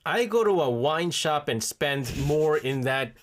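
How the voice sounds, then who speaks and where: A man's voice: a little high, animated, a little slow. A man from Seoul with a New York accent, hosting a radio show in a casual, chatty, joking way. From Seoul, South Korea.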